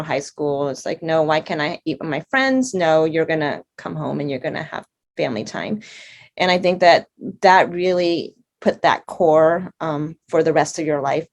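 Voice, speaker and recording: A woman doctor speaking as a panel guest, earnest and reflective. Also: low-pitched. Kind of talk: livestream panel discussion.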